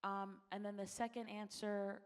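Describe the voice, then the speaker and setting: A woman singer answering interview questions on a panel, thinking aloud in an unhurried way. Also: medium pitch, little pitch movement, clear, resonant tone. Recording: long reverb of a concert hall.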